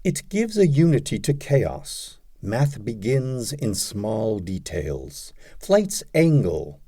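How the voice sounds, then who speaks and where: Slow, highly expressive man reciting poetry. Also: breathy edge. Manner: deliberate, dramatic phrasing with frequent pauses. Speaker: audiobook reader of poetry.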